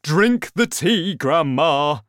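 A woman's voice: deep voice, sing-song, slow, dry recording, studio quality, pitched above the performer's own voice, exaggerated voice. A woman voicing a sly, scheming father in a storybook, coaxing and falsely sweet.

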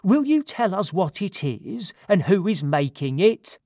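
A reader doing Silenus, a loud, dim satyr chief. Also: mid-range voice, big pitch swings, measured pace, no room sound, clean studio sound, voice pushed up. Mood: coaxing. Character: fat, dim-witted male satyr leader.

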